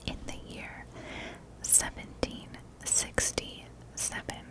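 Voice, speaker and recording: A woman narrating in a soft, breathy ASMR whisper, telling a story with measured, deliberate phrasing. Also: low-quality recording.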